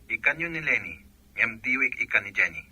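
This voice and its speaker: A man's voice: mid-range voice, expressive, a little fast, bright, forceful tone, breathy edge, no echo, full character voice. A man playing a jealous, accusing soldier husband in a radio drama, with a Filipino accent. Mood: complaining.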